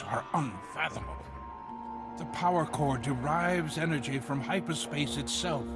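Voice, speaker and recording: Video game scientist: tortured, earnest, fearful man, raised pitch, over prominent score. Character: grave, frightened tortured scientist, pleading and earnest. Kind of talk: dramatic video game voice acting. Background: music behind the voice.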